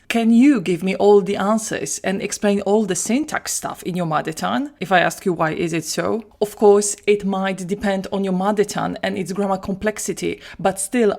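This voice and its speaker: A woman's voice: mid-range voice, a little mellow. A woman from Poland with a Polish accent, a language teacher talking through her solo podcast and putting questions to the listener. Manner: chatty, asks the listener questions.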